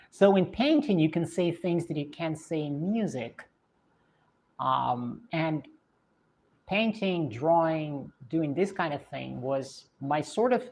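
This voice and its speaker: Streamer, woman, deep voice, Russian accent with a British English lilt, reflective and hesitant delivery. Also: a little mellow. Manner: thoughtful, hesitant, many fillers and restarts. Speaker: streamer answering viewer questions. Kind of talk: casual livestream chat.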